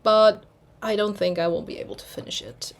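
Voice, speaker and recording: A young German woman speaking English as a second language, chatting in a loose, rambling way. She pauses now and then to hunt for a word and laughs at herself. Her voice sits at an ordinary middle pitch. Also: creaky. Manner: rambling, self-deprecating, searches for words. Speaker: cross-stitch vlogger. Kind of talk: casual chat.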